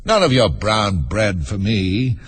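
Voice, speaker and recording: A man voicing a haughty, excitable and sly storybook unicorn, with drawn-out theatrical exclamations. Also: on the low side, breathy edge, in a large hall, heavily acted. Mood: bossy.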